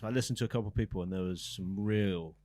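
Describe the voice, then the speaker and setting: Lowish man, self-mocking. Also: quick pace, warm tone. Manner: self-deprecating, mock-pleading. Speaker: comedian podcast co-host. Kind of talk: casual banter.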